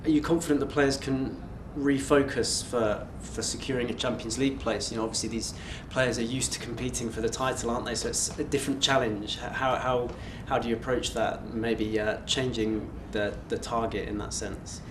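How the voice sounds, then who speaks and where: A man's voice: mid-range voice, fairly even intonation, normal speed, hardly any pauses. A man working as a sports reporter, tentatively putting and rephrasing questions at a press conference. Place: indoor press conference room. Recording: echoey room, clear background noise.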